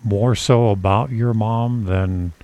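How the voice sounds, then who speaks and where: A man's voice: lowish voice, steady tone, slow pace, dry recording, muffled recording. A man hosting an interview, asking questions in a conversational style. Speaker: interview host.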